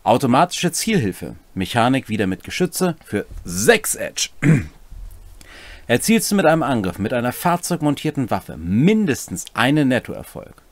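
A man's voice: mid-range voice, very animated, normal speed, no room sound. A man, co-hosting and explaining game rules with casual, chatty commentary. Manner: chatty, jokey asides. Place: small home room, indoors.

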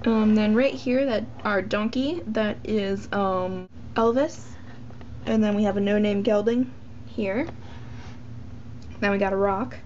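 A woman's voice: mid-range voice, slow, darkish tone. A teenage girl casually narrating a tour of her toy horse barn, rambling with many ums and joking about being lazy. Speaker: model horse collector giving a tour. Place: indoors at a tabletop toy barn. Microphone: handheld camera mic. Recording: dull lo-fi camera audio with faint hiss.

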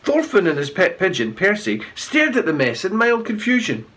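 A middle-aged man narrating a children's storybook aloud in an animated read-aloud style. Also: high-pitched, moderate pace, breathy edge. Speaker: children's author reading aloud.

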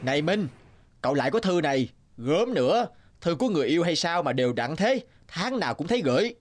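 A man's voice: a little high, very animated, fast. An actor voicing a friendly, teasing older male office colleague in a cartoon. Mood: teasing, jovial. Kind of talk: cartoon dialogue acting. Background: background music.

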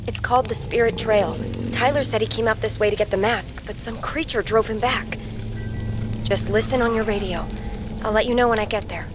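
An actress playing a gentle, placating young woman at an island retreat, in a naturalistic game drama. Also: fairly high pitch, fairly quick, natural voice. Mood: explaining. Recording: music behind the voice. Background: music.

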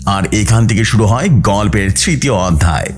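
A man's voice: on the low side, animated, normal speed. A man hosting and narrating horror stories in a dramatic, suspenseful way, drawing out key words for emphasis. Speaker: host of a horror story channel. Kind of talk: dramatic scripted horror storytelling.

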